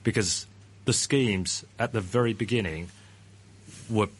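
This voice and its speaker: A man's voice: fairly low pitch, a little slow, slight background noise. A man being interviewed as a guest about pensions. He hedges, often starts with "look" and repeats his words. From Australia. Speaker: pension ratings firm founder.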